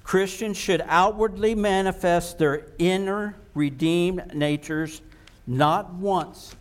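A man preaching a sermon, slow and emphatic, repeating words for stress. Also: high voice.

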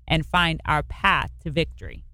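An American woman co-hosting a church radio show, affirming her co-host and reading scripture in a measured way. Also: low voice, dry recording. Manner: affirming, steady backing murmurs, measured reading.

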